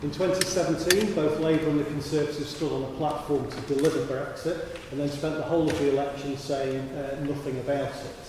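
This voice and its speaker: A man giving an assertive, brisk campaign speech as a candidate at a hustings in a large church hall. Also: fairly high pitch, fairly even intonation. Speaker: parliamentary candidate. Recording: distant, echoey hall with background hiss.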